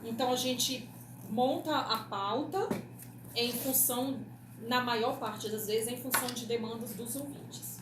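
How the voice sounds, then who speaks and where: A woman's voice: a little high, a little slow. A Brazilian woman explaining things in an interview as a radio producer, often checking in with short tag questions. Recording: echoey room with clear background noise.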